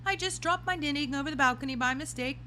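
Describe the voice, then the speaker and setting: A woman's voice: sits high, fast talker, bright, forceful tone, a little hiss or hum, voice pushed up. A woman voicing a cool, chatty old grandmother in a storybook, breezy and politely unruffled.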